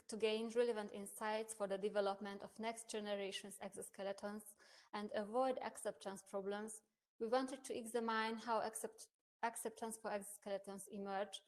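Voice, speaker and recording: A young woman whose first language is Hungarian, a research associate presenting a prerecorded conference paper in a measured, careful academic manner. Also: medium pitch. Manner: measured, careful academic delivery with occasional restarts.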